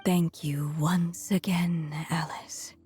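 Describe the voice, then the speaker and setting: Slow, tender dying woman storyteller. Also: on the low side, steady tone. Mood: weary, fond. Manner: slow, tender, solemn delivery. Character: gentle dying woman storyteller, motherly and serene. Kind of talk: scripted video game voice acting. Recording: loud music over the voice.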